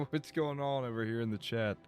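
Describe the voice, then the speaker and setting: A man streaming a game live, talking casually and rambling, his voice going a bit rough as he loses it. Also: mid-range voice, moderate pace, a little mellow, faint music behind. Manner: rambling, chatty, swears and laughs. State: losing his voice.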